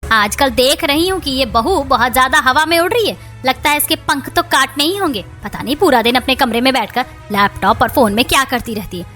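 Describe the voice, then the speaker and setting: High-voiced household woman for a domestic moral cartoon played by an actress, soft music bed. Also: expressive, brisk. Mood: complaining. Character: women of a quarrelling household: daughter-in-law, mother-in-law, sister-in-law. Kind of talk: Hindi moral-story cartoon dubbing.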